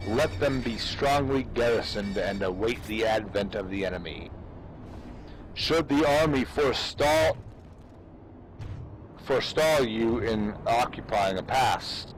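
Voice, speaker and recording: A man giving a dramatic, measured reading of an old military treatise in a formal, declamatory way. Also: fairly low pitch, noisy background. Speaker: amateur reader.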